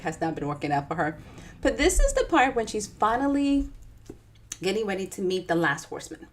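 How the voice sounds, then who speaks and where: A woman with a Dominican accent reads her own novel aloud and stops to chat with her audience. Her delivery is lively and expressive, with plenty of rise and fall. Her voice sits at an ordinary middle pitch. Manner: chatty and animated, talking straight to viewers. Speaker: author reading her own book. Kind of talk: live book reading with chatty asides.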